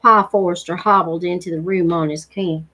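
A woman's voice: lowish voice, fairly even intonation, normal speed, pushed and bright, slightly rough. A middle-aged woman reading a novel aloud as a storyteller at home. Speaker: reader of a novel read-along. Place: living room at home, indoors.

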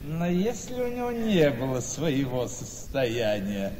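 An actor playing a fussy, ingratiating older male relative in a radio play, repeating words and exclaiming haltingly. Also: mid-range voice, big pitch swings, darkish tone, long reverb tail, a little hiss or hum. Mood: slyly deferential. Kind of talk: theatrical radio play acting.

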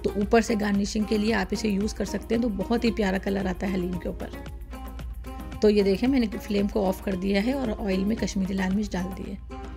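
A woman's voice: medium pitch, steady tone, fairly quick, gravelly, over music. A woman hosting a cooking channel, talking through each step of a recipe in a chatty, casual way with plenty of fillers. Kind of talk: casual cooking demonstration voice-over.

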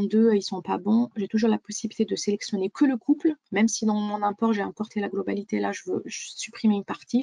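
A woman presents software in a webinar, guiding listeners step by step in an explanatory way. Her pitch is average for a woman. The sound is close and dry, with very little room in it. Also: vocal fry, no room sound.

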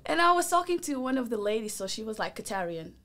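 A woman's voice: a little high, big pitch swings, fast, a bit bright. A young woman co-hosting a podcast in casual chat, asking curious follow-up questions. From Portugal. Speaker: podcast co-host.

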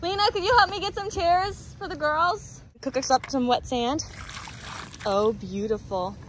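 A woman, playing dolls with children in an exclamatory, expressive way, outdoors. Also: high-pitched, measured pace. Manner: exclamatory, expressive, with frequent gasps. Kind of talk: playful doll pretend play with children. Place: sandy beach, outdoors. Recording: outdoor beach noise.

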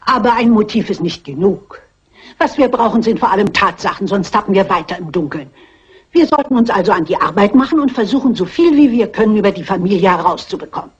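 Elderly amateur sleuth, very raspy, stubborn, dignified, brisk, old crime film, muffled sound. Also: female voice, mid-range voice, animated. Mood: brisk, determined. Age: older. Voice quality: husky. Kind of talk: dubbed classic crime film acting.

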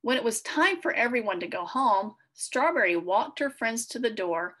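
A middle-aged woman reading a children's storybook aloud, expressive and clearly worded for young listeners. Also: a little high, normal speed. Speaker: read-aloud host for children. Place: small furnished room at home, indoors.

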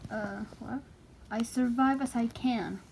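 A young woman casually reads aloud and comments, halting and excitable, swearing freely. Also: a little high, vocal fry, slight background noise, rough recording. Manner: excitable, foul-mouthed, halting as she translates. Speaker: vlogger. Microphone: handheld phone filming the page.

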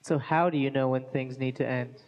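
A man hosting a casual onstage interview with musicians, upbeat and quick to laugh, on a handheld mic. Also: mid-range voice, steady tone, lo-fi sound. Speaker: interview host. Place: museum event room with live audience. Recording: long hall reverb.

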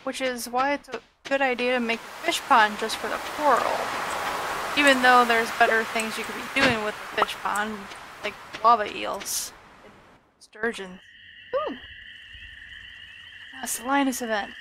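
A woman with a high voice, chatting and joking about herself while she plays a farming video game. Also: fairly even intonation, unhurried, background noise. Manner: chatty, self-mocking. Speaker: gaming video creator. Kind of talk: casual gameplay commentary. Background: game music.

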